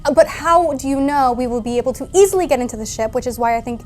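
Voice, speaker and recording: High-voiced woman, pointed and argumentative. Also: quick pace, a little mellow. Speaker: tabletop role-playing player. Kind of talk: in-character group discussion. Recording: background music under the voice.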